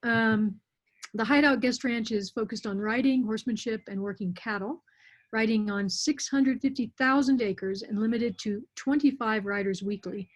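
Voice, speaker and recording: A woman's voice: fairly high pitch, moderate pace. A woman hosting an online interview, reading an introduction in a friendly way with frequent fillers, over muffled audio. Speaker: interview host.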